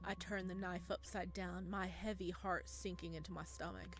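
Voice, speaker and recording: A woman's voice: lowish voice, normal speed. A woman reading a visual novel aloud with dramatic narration and exclamatory, spontaneous reactions. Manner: expressive, exclamatory. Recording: game music behind the voice. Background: game music.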